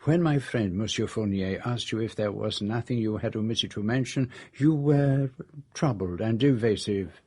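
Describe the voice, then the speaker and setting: An actor in a radio drama playing a shrewd, courteous older Belgian detective, deliberate and precise, with a Belgian French accent. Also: male voice, on the low side, slightly rough. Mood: gently accusing. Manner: deliberate, precise, gently insistent.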